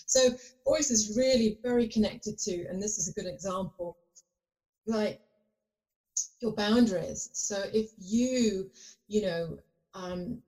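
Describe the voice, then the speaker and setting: A woman's voice: mid-range voice, takes it slowly, long pauses, dark tone, low-quality recording. A woman guest on a podcast, talking in a rambling way with lots of fillers and restarts. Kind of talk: casual conversation.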